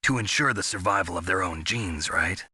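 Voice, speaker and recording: Low, husky veteran soldier. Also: male voice, normal speed, sharp tone, no room sound, heavily acted. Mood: dry interest. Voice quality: gravelly, husky. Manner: terse, dry, serious. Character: gruff, laconic veteran male soldier on covert mission. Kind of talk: video game radio dialogue.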